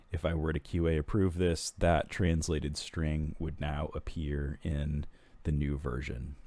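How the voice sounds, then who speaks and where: A man walking through software screens in a tutorial, explaining steps in an unhurried way with frequent ums and restarts. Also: a bass voice, no echo. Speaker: software product walkthrough presenter.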